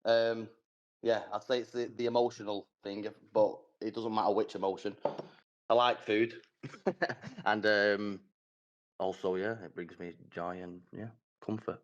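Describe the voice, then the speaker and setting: A man calling into a live coaching session, hesitant and chatty with many pauses and fillers. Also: fairly low pitch, fairly even intonation. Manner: hesitant, many ums, laughs easily. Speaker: caller. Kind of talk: casual chat on a call. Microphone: remote call connection. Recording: dull, muffled call audio.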